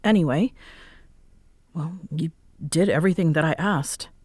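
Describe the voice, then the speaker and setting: A woman acting a guarded, grudgingly warm and easily flustered young tsundere roommate in a roleplay audio drama, with halting, wry delivery. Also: low voice, slightly rough, no echo. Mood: grudgingly warm, teasing.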